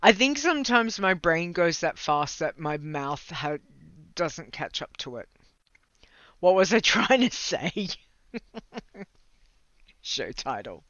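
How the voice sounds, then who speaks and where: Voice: mid-range voice, sing-song, slow, pauses often, a bit bright, dry recording. An Australian livestream host chatting casually with viewers, rambling, laughing often and stumbling over words. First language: English. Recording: slightly dull lo-fi mic, dry.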